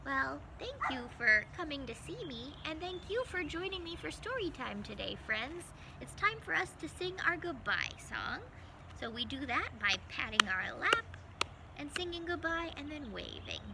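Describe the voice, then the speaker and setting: High-voiced woman hosting children's story time. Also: normal speed, vocal fry, very noisy. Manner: sing-song, animated, gentle coaxing addressed to small children. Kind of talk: children's story time, talking and singing to young viewers.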